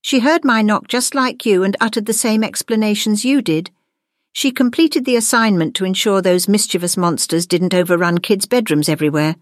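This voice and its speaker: A woman's voice: mid-range voice, normal speed, dry recording, clean studio sound, pitched above the performer's own voice, lightly acted. Woman acting a composed, knowing witch schoolteacher. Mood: fond, knowing.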